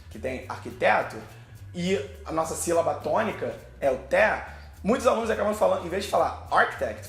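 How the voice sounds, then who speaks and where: A man's voice: sits high, creaky, a bit bright, roomy sound. A Brazilian man with a Brazilian Portuguese accent, teaching English pronunciation in a video lesson, posing questions and then answering them.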